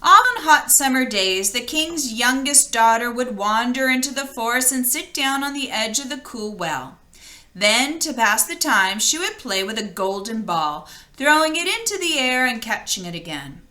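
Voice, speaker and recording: Bright, animated woman reading a storybook. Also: on the high side, normal speed. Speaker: storybook reader. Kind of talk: expressive read-aloud storytelling.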